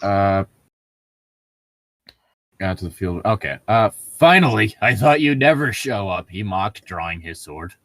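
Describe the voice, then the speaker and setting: A man's voice: lowish voice, lively intonation, unhurried, pauses often, a bit bright, no room sound. A young man in casual banter with friends, teasing, swearing and picking at details while co-hosting a comic read-aloud. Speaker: co-host reading fan fiction aloud.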